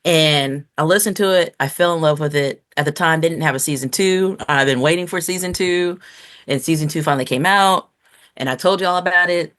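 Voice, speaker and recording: A woman's voice: low voice, a little fast, a bit bright. A woman co-hosting a podcast, giving her opinions in a casual, informal and conversational way. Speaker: podcast host. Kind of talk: casual review chat.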